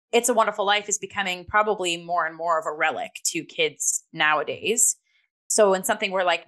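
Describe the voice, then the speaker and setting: A woman in a casual review discussion, hosting and talking in an animated, emphatic way with many filler "likes". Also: on the low side. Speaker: theatre review show host.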